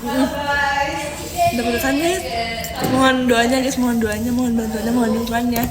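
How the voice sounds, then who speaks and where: A woman's voice: a little high, fairly even intonation, moderate pace. A young woman on a casual live stream, giggly and easygoing, talking to her viewers. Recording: echoey room with loud crowd noise.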